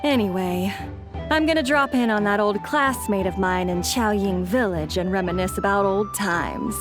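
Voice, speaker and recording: A woman's voice: mid-range voice, moderate pace, lightly acted. A woman voicing a tired female police officer, relieved and a little wry, in a video game. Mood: weary. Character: weary female police officer after a long case. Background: game music.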